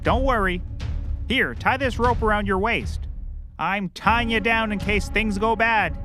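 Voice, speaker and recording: A man playing a bumbling, oblivious, excitable private detective in a scripted audio comedy, melodramatic and cheerfully clueless. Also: high-pitched. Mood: eager, confident.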